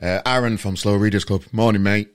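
A man's voice: lowish voice, fairly even intonation, a little fast, clear, resonant tone. A man hosting a podcast, chatting casually and rambling with lots of restarts. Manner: rambling, with many restarts and laughs. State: irritable, voice going on him. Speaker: podcast host. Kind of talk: casual chat.

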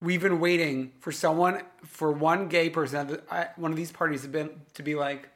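A man's voice: fairly high pitch, steady tone, fairly bright tone. Wry man with a slightly raised voice. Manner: wry, joking asides, quick agreeing "yeah"s. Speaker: podcast co-host. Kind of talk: casual reality TV recap chat.

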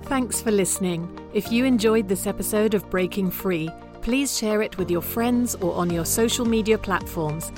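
A woman reads her podcast's closing lines in a smooth, scripted way, with clear music playing behind her voice. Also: mid-range voice, moderate pace, hardly any pauses. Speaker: podcast host. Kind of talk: scripted outro.

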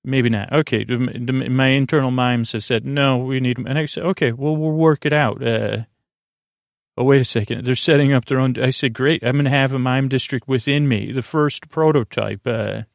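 Male podcast host, crackly fry, dry studio sound, meandering bedtime rambling, hesitant stammers, drifting tangents. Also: medium pitch, fairly even intonation, normal speed, no echo.

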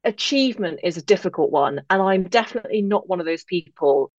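An English woman speaking as an interview guest, reflective, articulate and measured in how she puts her thoughts together. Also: fairly low pitch, expressive, darkish tone, no room sound, muffled recording. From England-born, grew up in Northern Ireland. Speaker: podcast guest, author.

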